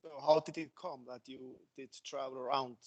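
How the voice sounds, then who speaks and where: A man acting as co-interviewer, putting his questions haltingly. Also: medium pitch, steady tone, a little mellow, muffled recording, a little hiss or hum.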